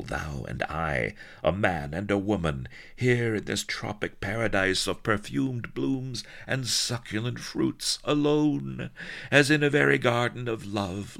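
Guy doing a husky vamp pirate lady, way higher voice, dramatic old-timey talk. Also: fairly bright tone, exaggerated voice. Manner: husky, theatrical, archaic speech. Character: sultry, scheming female pirate temptress.

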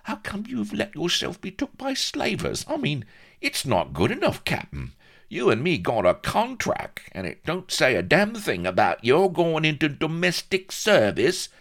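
A fretful little agent from a comic adventure storybook. The voice is pushed very high and quavers as it swoops between muttering doubt and whining protest. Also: male voice, huge pitch swings, measured pace, creaky, fairly bright tone, heavily acted. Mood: indignant. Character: fretful little middle-class agent, flabbergasted, squeaky and whining.

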